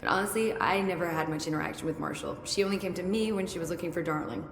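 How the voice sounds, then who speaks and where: An actress playing a brisk, curious research scientist with dry wit in a video game, thinking aloud analytically. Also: female voice, lowish voice, vocal fry. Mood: dry, frank. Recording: echoey hall with faint noise.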